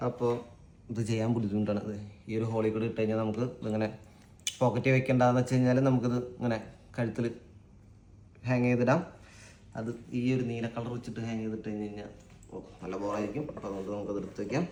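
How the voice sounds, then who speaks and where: A man's voice: on the low side, flat delivery, quick pace, long pauses, warm tone. A Malayali man hosting a casual unboxing vlog, informal and a little hesitant as he thinks aloud. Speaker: vlog host. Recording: echoey room with faint background noise.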